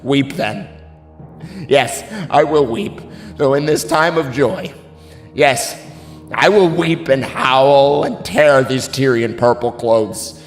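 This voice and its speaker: A man's voice: medium pitch, slow, close to an everyday voice. An actor playing a grief-stricken, wavering drunken nobleman, lamenting theatrically in a classical tragedy. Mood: anguished weeping. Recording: long hall reverb, music underneath.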